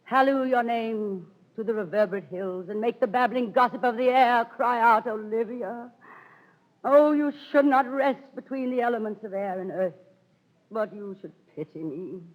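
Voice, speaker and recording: A theatrical actress playing a lovelorn young noble in a 1930s radio play of Shakespeare. The delivery is grand and declamatory, on a dull, old recording. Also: female voice, a little high, moderate pace, close to an everyday voice. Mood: impassioned pleading.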